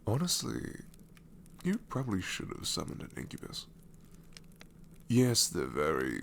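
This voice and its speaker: A man's voice: rumbling low, gravelly, a little hiss or hum, exaggerated voice. A man voicing a sassy, married male shadow demon in an ASMR roleplay, talking sarcastically and drawling, often dropping into whispers.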